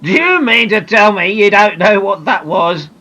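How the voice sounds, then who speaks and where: A woman doing a calm, kindly grown man. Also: medium pitch, normal speed, lo-fi sound, voice pushed up. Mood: amused. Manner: reassuring, patient explaining.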